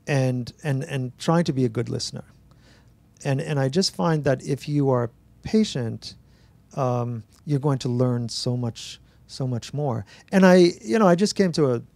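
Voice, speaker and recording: Gravelly-voiced man telling animated stories. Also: a little high, no echo. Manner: animated, acts out dialogue, many restarts. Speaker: author at a book talk. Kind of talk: relaxed storytelling to an audience. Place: bookstore event space. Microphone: handheld mic.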